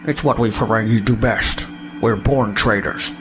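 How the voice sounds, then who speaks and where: A man's voice: fairly low pitch, normal speed, music in the background, close to an everyday voice. A man playing a sly, boastful alien trader in a sci-fi audio drama, smug and evasive.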